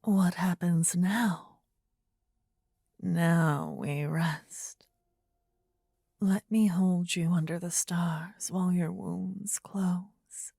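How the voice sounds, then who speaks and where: Whispering, very slow demon queen played by a woman. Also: lowish voice, fairly even intonation, dark tone, no room sound, clean studio sound, full character voice. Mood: soothing, devoted. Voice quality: breathy, husky whisper. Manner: slow, soothing, intimate, formal archaic phrasing. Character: possessive, adoring demon queen, tender yet menacing female lover. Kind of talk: whispered ASMR fantasy roleplay. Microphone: close ASMR microphone, dry.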